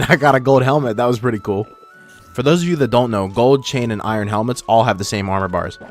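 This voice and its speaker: A young man narrates a gaming video in a casual, informal voice run through a voice filter. His pitch sits in the middle range, and he is a little self-deprecating. Faint music plays behind him. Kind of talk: casual scripted gameplay narration. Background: background music.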